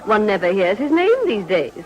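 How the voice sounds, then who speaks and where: An actress as a swooping society gossip. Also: female voice, medium pitch, very animated, normal speed, a little mellow, a faint crowd, lightly acted. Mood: amused, gossipy. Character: animated society lady, inquisitive gossip. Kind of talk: period radio drama acting.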